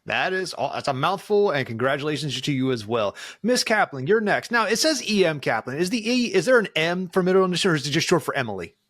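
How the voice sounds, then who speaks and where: Guy hosting a podcast, higher voice, chuckles a lot, jokey and casual, dry sound. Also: big pitch swings, fast talker, hardly any pauses, fairly bright tone, no echo. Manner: chuckles often, swears casually. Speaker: podcast host. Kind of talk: casual jokey banter, introducing players.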